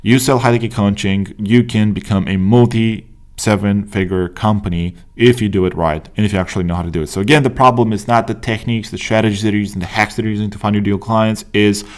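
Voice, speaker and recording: A man's voice: fairly low pitch, steady tone. A young man with a Romanian accent, teaching on a screen share and stressing his points with frequent "right?" and "like". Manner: emphatic, repeats "right?" and "like", quick asides. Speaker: business coach. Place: indoor room at a desk.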